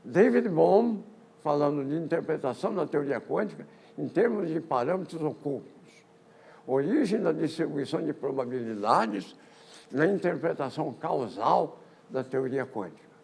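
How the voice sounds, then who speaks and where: An older man presents a history talk at a physics colloquium. His voice is gravelly and a little husky, sitting somewhat high for a man, and it swings up and down in a lively way. He stumbles over words and repeats himself as he moves through his slides. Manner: halting, with many repeated words and false starts. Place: lecture auditorium.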